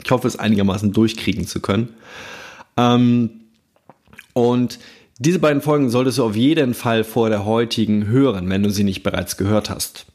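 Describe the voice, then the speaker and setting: A man hosting a self-help podcast, speaking calmly and thoughtfully with frequent fillers while he has a cold and coughs now and then. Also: fairly low pitch, normal speed. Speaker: podcast host.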